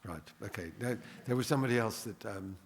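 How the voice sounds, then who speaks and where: Guy moderating a panel, lowish voice, off the cuff, reverby hall. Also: a little fast. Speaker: panel chair. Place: large panel hall, public address microphone. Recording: echoey hall with long reverb.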